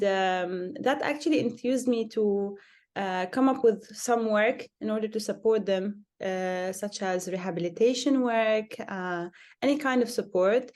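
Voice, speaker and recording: A young social worker from Lebanon talks through her answers in a relaxed interview. She is earnest and steady, and she often stops on a hesitant "uh" before going on. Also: mid-range voice. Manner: earnest, many filler "uh"s.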